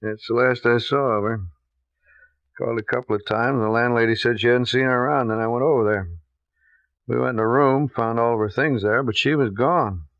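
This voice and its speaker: A man playing an ordinary, friendly but uneasy witness in a 1950s radio drama, talking colloquially and haltingly. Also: medium pitch, fairly even intonation, smooth, dry recording, natural voice. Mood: earnest, uneasy. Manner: colloquial, hesitant, halting with repeats. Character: ordinary guy, friendly but uneasy, questioned about missing woman. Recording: muffled old radio recording.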